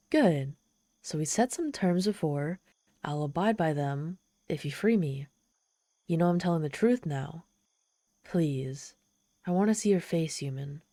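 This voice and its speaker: A woman's voice: low-pitched, warm tone, no room sound. A woman voicing a proud, lonely, trapped female demon in an ASMR roleplay, sardonic and exasperated with a pleading, vulnerable streak. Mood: smug. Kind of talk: ASMR roleplay monologue, dramatic storytelling.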